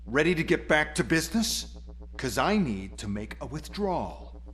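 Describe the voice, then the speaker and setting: A man's voice: a little high, very animated, normal speed, a bit bright. An actor playing a brash, impatient young corporate man in a video game, curt and dismissive. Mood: impatient, smug. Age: young adult.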